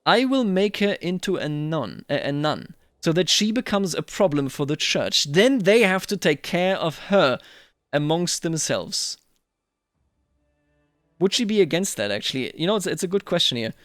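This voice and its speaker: Higher-pitched man narrating a game. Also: very animated, fairly bright tone, dry recording, studio quality. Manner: thinks aloud, musing and deliberate. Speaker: host of a strategy game roleplay series. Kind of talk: game commentary with in-character roleplay and reading aloud.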